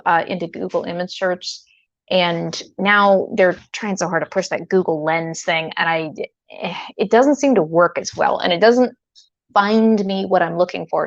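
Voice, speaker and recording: Lady streamer rambling playfully while she fiddles with gear, bit of vocal fry, kinda muffled audio. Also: medium pitch, no room sound. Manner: chatty, rambling, hesitant, playful asides. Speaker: livestreamer, host. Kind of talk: casual livestream chat while tinkering with gear.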